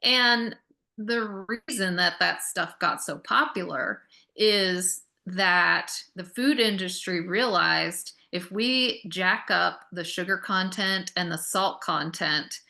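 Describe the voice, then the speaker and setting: A woman's voice: lowish voice, slow, gravelly, sharp tone. A woman guest on a talk show, earnestly explaining food and health with emphatic lists. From United States. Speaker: guest nutrition expert. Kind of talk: interview conversation.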